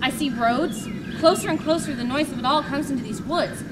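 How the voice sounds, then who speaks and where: A woman's voice: high-pitched, fairly quick, natural voice. A woman playing a solitary male nature writer who speaks in an earnest, solemn, poetic way, in an amateur dramatic reading. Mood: visionary. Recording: loud background noise.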